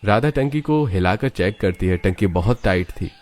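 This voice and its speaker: A man's voice: lowish voice, animated, moderate pace, a little mellow. A man narrating a scripted cartoon story as its storyteller.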